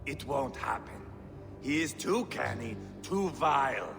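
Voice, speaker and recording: Gravelly, taunting Viking warrior. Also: male voice, medium pitch, expressive, takes it slowly. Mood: grim, scornful. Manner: taunting, brash, swearing. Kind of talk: dramatic video game acting. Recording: long hall reverb, loud music.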